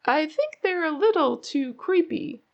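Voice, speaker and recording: Woman playing a high-voiced, concerned mother rabbit. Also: expressive, a little slow, dull audio. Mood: doubtful.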